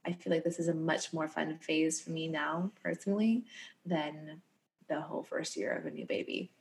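A woman's voice: low-pitched, normal speed, creaky. A woman in her thirties co-hosting a podcast in casual chat, her voice scratchy and tired, talking in an upbeat, frank way. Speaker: podcast co-host.

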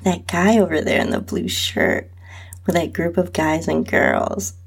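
Woman playing shy, soft-spoken young heroine. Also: lowish voice, a little slow, creaky, slightly rough, full character voice. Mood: hushed interest. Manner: soft, hushed.

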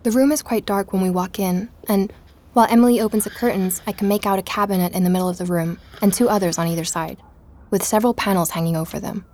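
A woman's voice: mid-range voice, steady tone, fast talker, dark tone, close to an everyday voice. An actress playing an introspective young woman who narrates her own investigation in a scripted first-person audio drama. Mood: hushed wonder. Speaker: narrator and protagonist.

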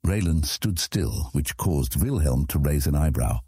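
A man narrating a fantasy audiobook in a measured, dramatic storytelling manner. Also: extremely low pitch, lively intonation, mellow, slightly rough, no room sound, studio quality.

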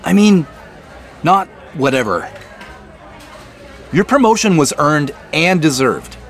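A man's voice: on the high side, very animated, takes it slowly, a faint crowd, heavily acted. An actor playing an earnest, probing male boss in naturalistic audio drama, speaking in an insistent, questioning, conversational way. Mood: concerned, pleading.

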